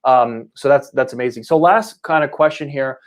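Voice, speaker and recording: A man hosting a casual motivational interview show, talking in a wordy, halting way with frequent restarts. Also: medium pitch. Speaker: interview show host and coaching institute founder.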